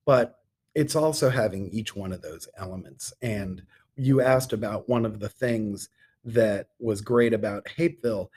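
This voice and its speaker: A middle-aged man speaking as an interview guest in an earnest, measured way with deliberate pauses. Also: lowish voice, a little mellow, husky. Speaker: podcast guest, theatre artistic director. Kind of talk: conversational interview talk.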